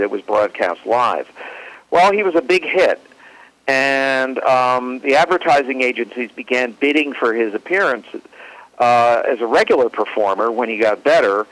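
A man with a mid-pitched voice gives an informal history talk as presenter. His delivery is hesitant and halting as he explains things. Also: fairly bright tone, low-quality recording. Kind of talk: informal historical talk introducing radio clips.

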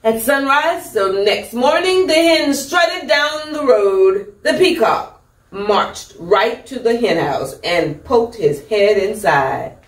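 A middle-aged woman hosting storytime, reading a children's picture book with deliberate, theatrical emphasis. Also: on the high side, animated. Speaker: storytime host. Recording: echoey room, distant microphone.

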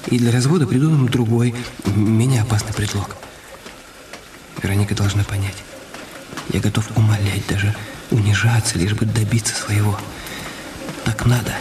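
An actor in a radio drama playing an anxious, calculating husband who broods aloud in halting phrases. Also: male voice, lowish voice, fairly even intonation, noisy background, lightly acted. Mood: scheming. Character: anxious, calculating husband brooding over his injured wife. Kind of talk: radio drama acting, inner monologue. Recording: echoey, slightly distant studio sound. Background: music.

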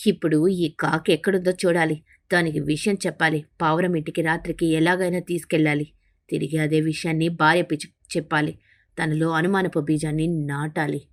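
A woman voicing a jealous, scheming parrot in an animated bird fable, sly and wheedling in manner. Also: sits low, brisk, voice pushed down. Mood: plotting.

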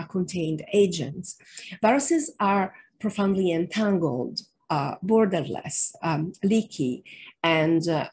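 A woman's voice: fairly low pitch, darkish tone, slightly rough. Middle-aged woman giving an academic keynote. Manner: measured, frequent fillers and pauses. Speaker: keynote speaker. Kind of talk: academic lecture over slides. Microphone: webcam on a video call.

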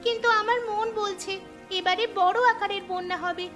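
A very high, bright young village girl speaks earnestly in a cartoon, voiced by a woman. She stays sensible and calm when trouble comes. Music plays clearly behind her. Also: normal speed. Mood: worried. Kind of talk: cartoon voice acting. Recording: music under the voice.

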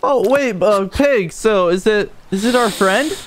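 A woman voicing an excitable orange stick figure in an amateur cartoon fan dub, searching for lost friends with casual, youthful slang and exclamations. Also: medium pitch, unhurried, a little hiss or hum, natural voice. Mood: curious, upbeat.

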